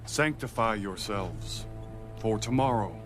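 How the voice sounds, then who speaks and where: A man playing a solemn, commanding leader of his people in a dramatized Bible, declaiming deliberately. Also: fairly low pitch, expressive, a bit bright, faint music behind. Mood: solemn proclaiming. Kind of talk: dramatized audio Bible acting.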